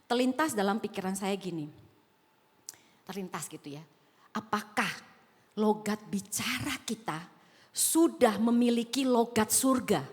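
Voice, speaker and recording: A woman's voice: medium pitch, a little slow, pauses often. A woman pastor from Sumatra with a slight Javanese accent, preaching a sermon in a conversational way and asking the congregation questions. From Sumatra, Indonesia. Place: large auditorium. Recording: echoing hall.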